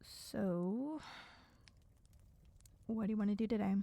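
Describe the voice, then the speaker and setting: A woman's voice: mid-range voice, takes it slowly, creaky, warm tone, dry recording. A woman playing a wry, bossy, guarded survivor in a dystopian audio roleplay, speaking to a silent listener in a dry, chiding, sardonic way. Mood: dry, resigned.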